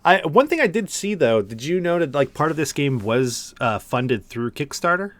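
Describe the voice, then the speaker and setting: A man's voice: fairly high pitch, very animated, normal speed, fairly bright tone, no echo. Casual, enthusiastic male podcast co-host, dry sound. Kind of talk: casual video game discussion.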